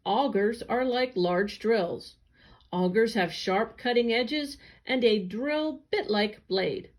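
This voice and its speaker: A woman reading a scripted educational article aloud for children, in a careful, instructional way. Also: mid-range voice. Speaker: educational narrator, agency staff reader.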